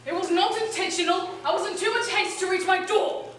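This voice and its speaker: A woman's voice: extremely high pitch, fairly even intonation, brisk. A woman speaking from a distance in a very echoey space. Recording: very echoey, distant, loud music.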